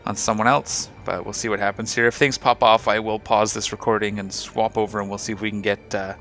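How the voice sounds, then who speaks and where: A man hosting a casual game video, talking through his ship setup with a slightly rough, hoarse voice. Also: on the low side, a little fast, faint music behind. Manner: relaxed, rambling, explains ship fittings. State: slightly rough voice after a night of singing. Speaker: gaming channel host. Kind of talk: casual gameplay commentary.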